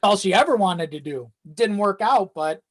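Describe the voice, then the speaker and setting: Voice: medium pitch, animated, normal speed. A podcast guest chatting casually in an informal, sweary and self-deprecating way. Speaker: video editor.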